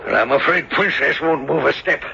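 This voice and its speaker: A man playing a gruff, wary older countryman, halting in speech, in a radio drama. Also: a little high, expressive. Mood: awed, frightened. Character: gruff older countryman, a father, uneasy cart driver. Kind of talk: 1970s radio drama acting. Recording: dull, very noisy vintage radio broadcast.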